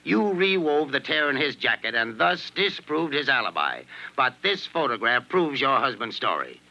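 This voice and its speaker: An actor playing a shrewd, courteous police inspector in a 1940s radio drama, speaking in a measured, probing way. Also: male voice, mid-range voice, very animated, sharp tone, lo-fi sound, exaggerated voice. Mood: coolly accusing. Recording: vintage 1940s radio recording.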